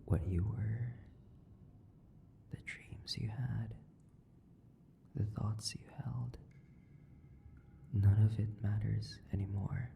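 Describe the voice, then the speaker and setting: Guy doing a sadistic old vampire for horror roleplay, low dark voice, breathy whispers, savoring every word, faint noise behind. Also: steady tone, heavily acted. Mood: menacing, hungry. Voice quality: gravelly, breathy, often dropping to a whisper. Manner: slow, theatrical, savoring, condescending. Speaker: audio roleplay voice actor. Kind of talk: horror audio roleplay monologue.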